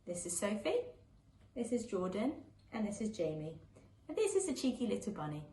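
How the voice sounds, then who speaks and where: A woman reading a children's picture book aloud live, with an expressive, sing-song storytelling delivery. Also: medium pitch, moderate pace. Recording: echoey room with faint background noise.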